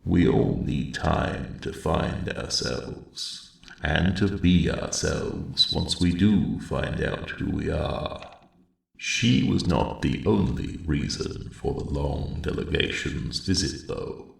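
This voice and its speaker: An extremely deep, heavily gravelled old male dragon, speaks softly as in an ASMR fantasy roleplay. He is courteous and kindly, taking his time over formal, thoughtful phrases, with a roomy echo around the voice. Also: expressive, exaggerated voice. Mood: thoughtful, calm. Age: older. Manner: measured, hesitant, formal old-fashioned phrasing. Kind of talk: ASMR roleplay, soft intimate storytelling.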